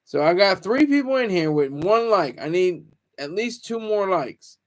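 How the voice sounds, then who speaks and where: A man's voice: high voice, expressive, moderate pace. A man hosting a casual livestream, rambling, teasing viewers and stumbling over restarts. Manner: stammers and restarts often. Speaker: livestream host.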